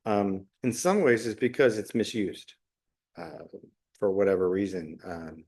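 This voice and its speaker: A middle-aged man talking unscripted as a solo podcast host, reflective and halting, often pausing mid-phrase. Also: fairly low pitch, darkish tone. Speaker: podcast host, special education teacher.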